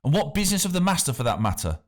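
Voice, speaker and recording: A man's voice: on the high side, big pitch swings, rapid-fire, voice pushed up. A man voicing a boy who answers authority bluntly and with hostility. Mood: defiant. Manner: blunt and defiant toward authority.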